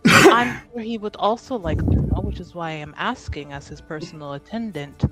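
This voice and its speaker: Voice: medium pitch, expressive, moderate pace, natural voice. A player voicing a duke's personal attendant in a formal, probing, officious manner. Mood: suspicious, probing. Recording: music playing behind the voice.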